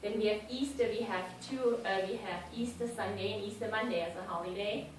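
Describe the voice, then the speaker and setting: A woman's voice: medium pitch, brisk, background noise. A woman from Germany, describing her own experience in simple, step-by-step English. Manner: simple plain phrasing, explains step by step. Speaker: panelist. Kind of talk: personal account on a panel. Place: large hall. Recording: echoey hall, mic far off.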